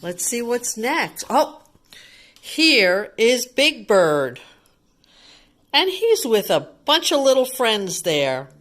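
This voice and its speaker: A woman's voice: a little high, big pitch swings, slow, a bit bright. A woman reading a picture book aloud to young children, adding chatty commentary and simple explanations and often putting questions to the child.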